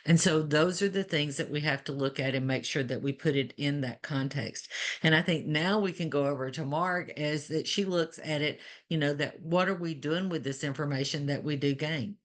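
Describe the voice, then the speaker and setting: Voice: mid-range voice, moderate pace. Earnest Alabama-accented nurse educator. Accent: Alabama Southern US. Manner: rambling, earnest, frequent "you know" asides. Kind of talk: webinar panel discussion.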